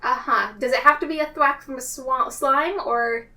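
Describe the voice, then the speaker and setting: High-voiced woman playing a tabletop game. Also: moderate pace, bright, forceful tone. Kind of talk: casual improvised roleplay chat. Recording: distant mic with faint noise.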